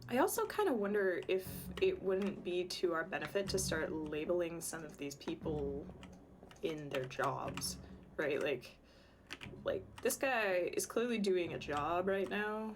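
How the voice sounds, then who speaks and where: A slightly hoarse woman streaming a game, chatting casually and thinking aloud with many ums. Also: fairly low pitch, animated, measured pace, noisy background. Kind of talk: casual livestream commentary.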